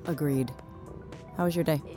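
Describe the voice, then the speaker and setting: Wry, low-voiced actress as a frank woman. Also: steady tone, moderate pace, music in the background, natural voice. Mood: gentle, frank. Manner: wry, teasing. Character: blunt, wry, caring adult woman, family confidante. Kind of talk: naturalistic audio drama acting.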